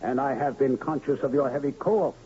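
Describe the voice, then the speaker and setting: An actor playing a grandiloquent, flattering traveling medicine-show huckster in a radio drama, with pompous pitchman oratory. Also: a little fast, lightly acted. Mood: showy, persuasive. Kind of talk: 1970s radio drama acting. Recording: dull, noisy old radio recording.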